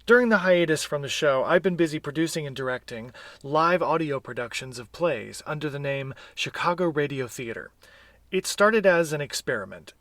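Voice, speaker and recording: A man's voice: mid-range voice, a bit bright, no room sound. A middle-aged American man hosting a podcast, introducing and interviewing a guest. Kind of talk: scripted introduction and live conversational interview.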